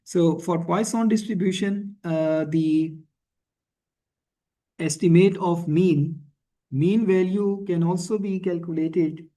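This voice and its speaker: A man teaching in a screencast tutorial, thinking aloud haltingly and often checking in with a questioning tag. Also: high-pitched, steady tone, mellow, poor audio. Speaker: instructor. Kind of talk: screencast programming and statistics tutorial.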